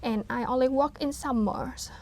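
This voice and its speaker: A woman in her thirties from Vietnam, speaking English as an as an interview guest, hesitant with frequent fillers and soft laughs. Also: high-pitched, moderate pace. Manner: hesitant, many fillers and restarts, laughs softly. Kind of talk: casual interview talk.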